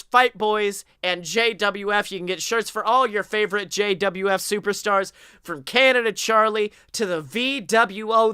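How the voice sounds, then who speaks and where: Young man, high-pitched, forceful bright tone, casual podcast host. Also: smooth, no room sound, studio quality. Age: young adult. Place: home room, indoors.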